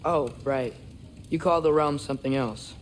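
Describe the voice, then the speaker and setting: A man's voice: on the high side, normal speed, slight background noise, lightly acted. A young man voicing a curious, earnest teenage son of a warrior in a video game. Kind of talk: video game character acting.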